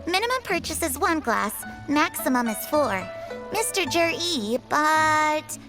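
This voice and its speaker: Brief: pushy-polite wineware clerk, high bright voice, lively pitch, game music loud under it. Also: female voice, exaggerated voice. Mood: brisk. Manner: brisk sales patter, polite and precise. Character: brisk female shop clerk selling wineware. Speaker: wine shop clerk. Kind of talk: video game dub acting.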